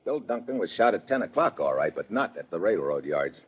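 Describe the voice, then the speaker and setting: A man playing a tough, wisecracking undercover investigator in 1950s radio drama, husky, blunt and sardonic, on a dull old radio recording. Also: low voice, big pitch swings, fairly quick, full character voice. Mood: firm explaining. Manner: hard-boiled, sardonic, blunt.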